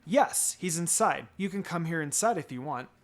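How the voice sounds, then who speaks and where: A man's voice: a little high, expressive, normal speed. A man voicing a kind, welcoming mother in a fan fiction reading. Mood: kindly welcoming.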